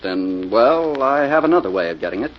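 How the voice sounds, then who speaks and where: A man's voice: mid-range voice, very animated, a little fast, highs cut off, soft background music, natural voice. An actor playing a debt-ridden young man about town, a brash and defensive schemer, in a 1940s radio drama on an old recording. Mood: defensive, pressed.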